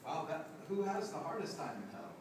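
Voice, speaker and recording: A man's voice: on the high side, wildly swooping pitch, a little fast. A man voicing a boastful but nervous Viking warrior in a live puppet show, stammering and hesitant. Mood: curious, uneasy. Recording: echoey room, noisy, distant mic.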